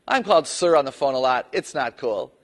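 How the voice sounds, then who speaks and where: A woman with a notably low voice tells a personal story with lively, swinging intonation. She speaks as a practiced public speaker and drops playful asides along the way. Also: fairly quick. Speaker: guest speaker. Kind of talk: personal story told to camera.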